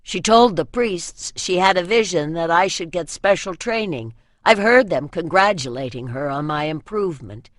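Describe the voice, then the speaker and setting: A woman's voice: lowish voice, expressive, normal speed, hardly any pauses, dry recording, studio quality, natural voice. A woman voicing a proud, sullen teenage boy in a fantasy audiobook, muttering and earnest in manner. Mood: mutinous. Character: proud, sullen teenage boy hiding his royal name.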